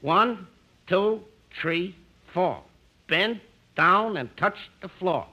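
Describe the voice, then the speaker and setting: An actor playing a slow-witted, genial punch-drunk ex-boxer working as a gym instructor in a radio comedy, halting and stammering. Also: male voice, high voice, expressive, bright, forceful tone, full character voice. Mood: instructing. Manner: halting, stammering, uneducated speech. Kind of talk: 1950s radio comedy acting. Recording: very noisy 1950s radio recording.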